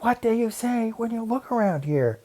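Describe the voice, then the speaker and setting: Storybook schoolgirl, bossy and earnest, pitched high, emphatic and exclaiming male reader acting her. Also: animated, normal speed, darkish tone. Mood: proud, insistent. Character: bossy, earnest young schoolgirl with big ideas. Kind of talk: children's storybook read-aloud.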